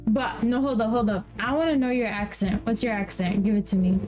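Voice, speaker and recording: A playful young woman hosts a loose interview, her voice sitting a little high. She teases, repeats herself and laughs often. Faint music plays underneath. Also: fairly even intonation, brisk, a little mellow. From New York. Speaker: podcast host. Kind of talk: casual chat.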